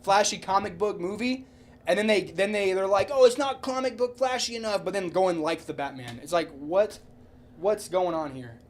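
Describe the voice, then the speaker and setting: A man's voice: high-pitched, normal speed. A man co-hosting a podcast in casual, heated debate, opinionated and repetitive, with casual swearing. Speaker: podcast co-host.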